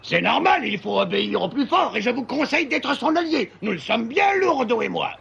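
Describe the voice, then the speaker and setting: Gravelly, high-strained cartoon villain beast. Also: male voice, big pitch swings, fairly quick, fairly bright tone, highs cut off, full character voice. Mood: smug threatening. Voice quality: gravelly, raspy. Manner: menacing, bombastic. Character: bullying male villain animal, loud threatening henchman of a tyrant. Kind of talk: French cartoon dub acting.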